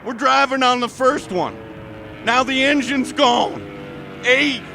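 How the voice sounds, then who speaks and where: An actor playing a dutiful army lieutenant piloting a bomber in a radio drama, terse and urgent over a noisy transmission. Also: male voice, extremely high pitch, takes it slowly, vocal fry, over music, heavily acted. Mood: desperate.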